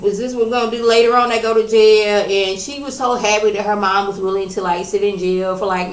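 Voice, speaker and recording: A woman casually recapping a reality TV episode in a chatty, animated way, acting out what people said. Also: lowish voice. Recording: roomy, distant from the mic.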